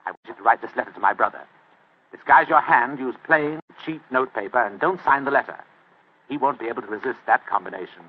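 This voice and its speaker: A man's voice: medium pitch, fast, husky, close to an everyday voice. An actor playing a dry, languid British man who plots from his armchair in an old radio drama. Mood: wry. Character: wry idle older male mastermind brother. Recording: tinny old radio broadcast.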